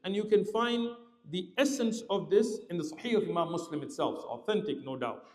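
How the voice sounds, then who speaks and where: A man preaching a sermon, telling a story with lively explanatory asides. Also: fairly quick. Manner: animated, lively pitch swings, explanatory asides. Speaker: Islamic preacher. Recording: roomy hall reverb.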